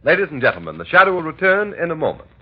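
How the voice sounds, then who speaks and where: A man's voice: on the high side, sing-song, very fast, slightly rough, faint music behind. A man reading an advertisement as a 1930s radio announcer, with an emphatic sales delivery. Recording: dull old radio recording.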